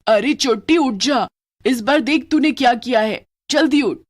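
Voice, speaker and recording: A woman's voice: high voice, a little slow, pitched above the performer's own voice. Woman doing a bossy, loyal witch friend. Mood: urgent scolding. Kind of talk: cartoon voice acting.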